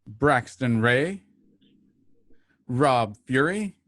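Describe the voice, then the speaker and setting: A man hosting an online radio drama, reading a scripted introduction with measured, announcer-like phrasing. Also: mid-range voice, animated, a bit bright, no room sound.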